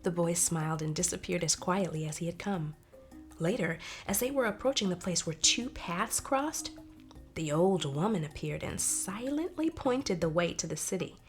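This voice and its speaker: A woman narrating a folktale expressively, as in a storybook read-aloud. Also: on the low side. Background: soft music.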